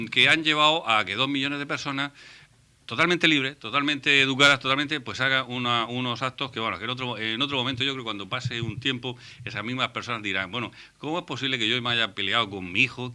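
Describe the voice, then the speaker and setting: Man reasoning haltingly, bright voice. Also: mid-range voice. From Murcia, Spain. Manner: halting, repeats and restarts words while reasoning. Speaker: radio talk show panelist. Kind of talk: radio debate.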